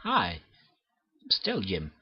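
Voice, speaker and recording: Animated man reading game text aloud. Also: low-pitched, highs cut off. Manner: halting reading, stumbles over words. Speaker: let's play host. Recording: clear game music behind voice. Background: game music.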